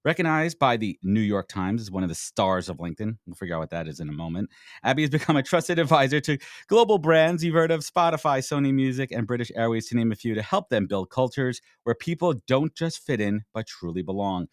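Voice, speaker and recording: A fast-talking man with a Brooklyn accent hosts with energy and a blunt, joking manner. His pitch moves a lot. The sound is close and dry. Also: sing-song, no room sound. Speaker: podcast host. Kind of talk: casual interview chat.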